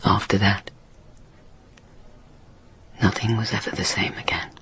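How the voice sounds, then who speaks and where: An actress playing a guilt-haunted signalman's daughter in a radio drama monologue, recalling in a breathy, hushed, halting voice. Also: extremely low pitch, little pitch movement, a little hiss or hum. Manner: slow, halting recollection.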